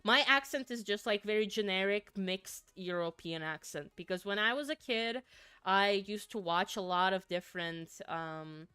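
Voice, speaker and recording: A woman's voice: mid-range voice, lively intonation, normal speed, pushed and bright, smooth, dry recording. A woman from Finland, a native Finnish speaker, chatting casually with viewers on a game livestream, giggling and repeating words as she goes. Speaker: streamer.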